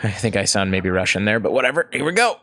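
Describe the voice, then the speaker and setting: A man's voice: lowish voice, very animated, fairly bright tone. Teasing man hosting a stream. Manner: teasing, jokey, quick banter. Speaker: host. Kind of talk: casual chat on a stream.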